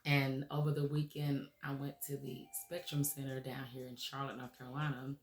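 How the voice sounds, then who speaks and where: Raspy lady radio host, encouraging talk, kinda flat delivery, echoey. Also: very deep voice, moderate pace, soft background music. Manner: earnest, encouraging, frequent "okay?" and "um". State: raspy, recovering from laryngitis. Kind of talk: motivational talk show monologue.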